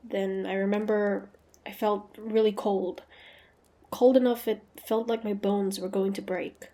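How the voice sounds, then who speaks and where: Woman acting a proud female monster hunter, unhurried. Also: mid-range voice, mellow, lightly acted. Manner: haughty, taunting, dramatic. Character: proud, defiant young female monster hunter, grudgingly curious. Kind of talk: fantasy audio roleplay acting.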